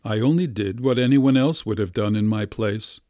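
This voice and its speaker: A man's voice: on the low side, normal speed, dark tone, dry recording, clean studio sound, close to an everyday voice. A man doing a hesitant, flustered family doctor. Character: middle-aged family doctor, polite, embarrassed.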